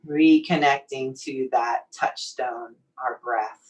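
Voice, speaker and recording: A woman leading a guided meditation in a soothing, gently encouraging, unhurried manner. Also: very deep voice, fairly even intonation. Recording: distant microphone pickup.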